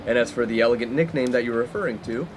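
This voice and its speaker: A man's voice: medium pitch, fast, noisy background, lightly acted. A man playing a brash young college friend in a comic radio play, pompous, wordy and playful. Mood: mock-formal, smug. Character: brash, inappropriate young male college friend, mock-formal prankster. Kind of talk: 1950s-set comic radio play acting.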